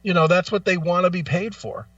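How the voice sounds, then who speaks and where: A man with a high, sharp, forceful voice rants like an opinionated radio host. He is blunt, profane and emphatic, hammering on repeated phrases. The sound is slightly muffled. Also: brisk, no room sound, far from the mic. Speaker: talk show host. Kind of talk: opinionated music talk, ranting.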